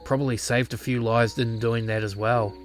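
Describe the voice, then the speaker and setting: A man playing a brooding, regretful former clan leader in a visual novel, speaking in a somber, measured, reflective way. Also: mid-range voice, fairly even intonation, soft background music, lightly acted. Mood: grim, bitter.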